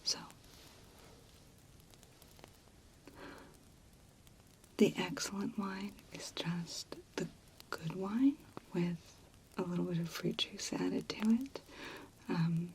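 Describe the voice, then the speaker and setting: A woman playing a friendly, confiding medieval fantasy tavern server in a hushed, gentle ASMR role play. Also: lowish voice, slow, a little hiss or hum, low-quality recording. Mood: secretive.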